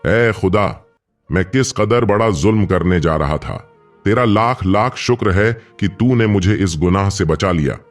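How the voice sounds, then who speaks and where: A man's voice: low voice, expressive, unhurried. A man voicing a cruel, hot-tempered merchant father in a storybook tale, with a commanding manner. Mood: remorseful. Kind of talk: storybook narration. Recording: music bed behind the voice.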